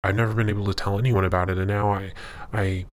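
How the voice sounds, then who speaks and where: A man voicing an anguished, conflicted centuries-old demon with a quiet, deep, halting voice in an audio story. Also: little pitch movement, lightly acted. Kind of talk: audio fiction dialogue.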